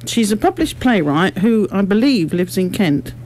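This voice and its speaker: A woman speaks at a middling pitch, with some noise behind her. She explains things articulately, in the prepared manner of an amateur group member being interviewed on radio. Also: big pitch swings, normal speed. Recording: noticeable background noise.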